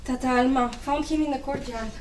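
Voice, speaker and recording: A woman playing a blunt, lively Cuban confidante in a dramatic play reading, reproachful, with Spanish endearments sprinkled in. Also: high voice, fairly quick, lightly acted. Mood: grieving, gentle. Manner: chiding, mixes in Spanish endearments. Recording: echoey room, distant mic, faint noise.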